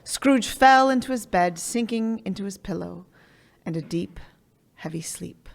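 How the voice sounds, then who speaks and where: A woman narrating a live radio play in a drowsy, drawn-out way. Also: medium pitch, animated, vocal fry.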